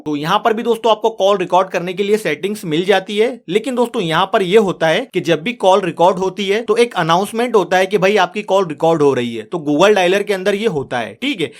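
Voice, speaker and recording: A man narrating a step-by-step phone tutorial as the host, in an instructional way, addressing viewers as friends. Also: high-pitched.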